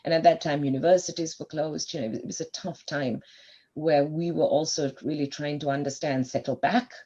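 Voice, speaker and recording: A woman's voice: deep voice, fairly even intonation, brisk, dark tone, breathy edge, highs cut off. A woman in her fifties from Sri Lanka, a doctor guest on a podcast, reflectively telling her life story at length. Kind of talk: podcast interview.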